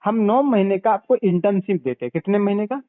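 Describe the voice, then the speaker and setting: A man giving a didactic sales pitch as a consultant over the phone, repeating points and checking back with questions. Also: brisk, no echo. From Bihar, India. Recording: narrow, tinny phone call recording.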